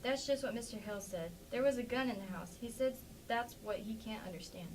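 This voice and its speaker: A woman's voice: on the high side, fairly quick, natural voice. Woman reading as a plainspoken farm wife, slightly distant. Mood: plain, musing. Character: plainspoken, defensive Midwestern farmer's wife and neighbor. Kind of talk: amateur staged play reading. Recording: distant mic with faint background noise.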